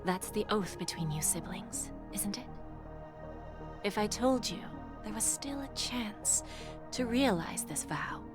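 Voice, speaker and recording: Woman acting as a calculating posh game lady, super slow and silky, music in the back. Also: medium pitch, expressive, lightly acted. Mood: persuasive. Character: poised, calculating, condescending noblewoman dealmaker.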